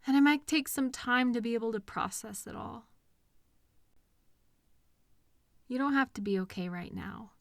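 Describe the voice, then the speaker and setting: A woman playing a caring, protective partner in an intimate comfort audio roleplay, speaking gently and earnestly in a reassuring, conversational way with hesitant pauses. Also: fairly high pitch, vocal fry, no echo, studio quality. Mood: tender. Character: caring, protective partner consoling a hurting loved one.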